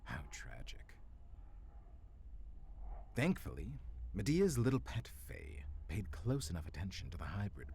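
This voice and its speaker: Very deep, menacing ancient demon lord. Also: male voice, a little hiss or hum, heavily acted. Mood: bitter, imperious. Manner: slow, formal, menacing, breaking into whispers. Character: ancient menacing male demon-frost giant hybrid tyrant. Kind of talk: dramatic audio roleplay acting.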